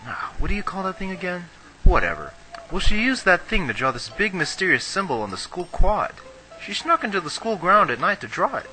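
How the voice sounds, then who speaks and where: A brash, girl-crazy schoolboy acted by a young man gossips in anime fan dub style. His slightly high voice is very bright and animated, full of cocky slang, with some music underneath. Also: fairly quick, full character voice, low-quality recording. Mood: gossipy, knowing.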